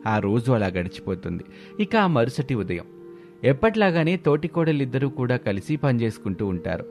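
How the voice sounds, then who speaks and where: A man works as narrator of a scripted moral tale. His voice sits at a middle pitch, and music plays behind the narration. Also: sing-song, normal speed, a little mellow.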